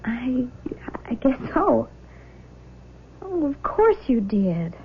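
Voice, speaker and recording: An actress playing a shaken but loving nineteen-year-old daughter in a 1970s radio drama, halting and exclaiming. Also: female voice, high voice, very animated, slight background noise, full character voice. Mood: tender, shaken. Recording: dull lo-fi old radio broadcast.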